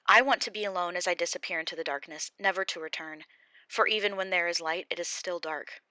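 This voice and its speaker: Woman reading old job, grieving and defiant, pleading loud, bright sharp tone. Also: on the low side, fast talker, dry recording, rough recording. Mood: impassioned defiance. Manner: impassioned, raised pleading delivery. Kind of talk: audiobook character reading.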